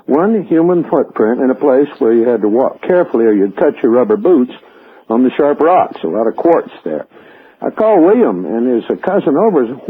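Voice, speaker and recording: Male caller, mature, husky, emphatic storyteller with clipped phrases, on a narrow telephone line. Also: medium pitch. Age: middle-aged or older. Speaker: radio show caller. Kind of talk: vivid personal storytelling. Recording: tinny, narrow phone line.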